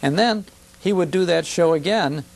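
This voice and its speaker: An older man with a gravelly voice, explaining and recounting things conversationally as a broadcaster being interviewed. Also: high voice, moderate pace. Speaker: radio show broadcaster, interview guest. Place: television studio.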